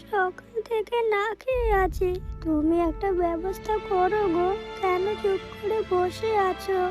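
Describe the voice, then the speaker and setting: Lady doing a hungry cartoon village wife, super high voice, plaintive n distressed, music behind. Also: steady tone, unhurried. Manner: plaintive, pleading, repeats words.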